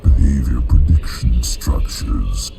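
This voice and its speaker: An actor playing a cold, malevolent, dying artificial intelligence in a video game, with halting, clipped, machine-like speech. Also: male voice, exaggerated voice. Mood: flat, failing. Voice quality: very deep, gravelly, machine-like. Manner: halting, clipped, report-like phrasing. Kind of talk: video game cutscene acting. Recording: loud music behind the voice.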